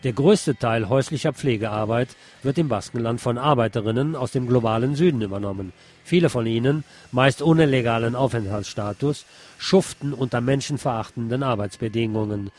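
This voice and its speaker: A man reads a printed news report aloud for his radio show. His delivery is steady and measured, with a voice of middling pitch. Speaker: radio host reading a news article.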